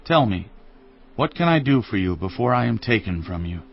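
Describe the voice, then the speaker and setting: An actor playing a grave elder prophet in a dramatised audio scripture, solemn, measured and authoritative. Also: male voice, on the low side, sing-song, gravelly, heavily acted. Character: grave elder male prophet, calm master. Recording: dull lo-fi sound, music underneath.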